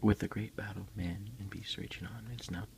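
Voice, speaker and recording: A man speaking as an ASMR host, soft-spoken and unhurried, in a casual style. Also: fairly low pitch, fairly even intonation, vocal fry, a little hiss or hum. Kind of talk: whispered ASMR unboxing, reading aloud and chatting.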